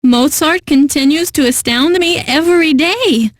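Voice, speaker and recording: A woman's voice: high-pitched, a little slow, dry recording, studio quality. An actress playing an eccentric, lovelorn female classical radio host in a video game, announcing with genteel poise and wistful, theatrical delivery. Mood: poised, admiring. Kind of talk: scripted radio DJ announcing with comic drama.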